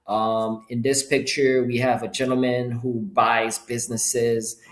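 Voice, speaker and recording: A middle-aged man presents a motivational online talk. His voice sits at a medium pitch, and he speaks informally and upbeat, in short punchy phrases. The sound is noticeably roomy and echoing. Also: flat delivery, a little slow. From Haiti. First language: Haitian Creole. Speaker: entrepreneur hosting an online presentation. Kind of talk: motivational webinar pitch to a live chat.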